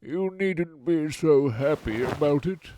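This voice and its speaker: Grumpy, wheezy, melodramatic storybook bear. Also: male voice, fairly high pitch, mellow, no room sound, voice pushed up, low-quality recording. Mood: pompous gloom. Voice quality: raspy, wheezy, growling. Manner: melodramatic, pompous, drawn-out complaining. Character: grumpy, self-pitying big brown bear with a cold. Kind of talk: children's storybook read-aloud.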